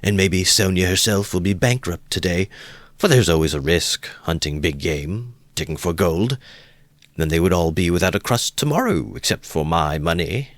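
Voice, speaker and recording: Brooding sardonic young man, male narrator doing him low and close. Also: animated, moderate pace, dry recording. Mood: bitter. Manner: sardonic, laughs malignantly. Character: bitter young man muttering to himself.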